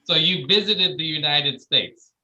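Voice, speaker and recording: A man's voice: a little high, unhurried, bright, forceful tone, dull audio. A man hosting a casual interview, stumbling and repeating words as he jokes along. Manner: stammering, repeats words, jokey. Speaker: student show host.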